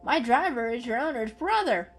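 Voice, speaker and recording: A woman's voice: a little high, lively intonation, moderate pace, faint music behind, voice pushed up. A woman voicing a friendly, carefree travelling cat in a children's story, chatty, upbeat and emphatic. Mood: chatty, proud.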